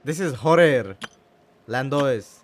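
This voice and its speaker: A man doing casual gameplay commentary, thinking aloud haltingly and repeating his phrases. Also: medium pitch, lively intonation, a little hiss or hum. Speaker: gaming streamer. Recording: loud game music behind the voice.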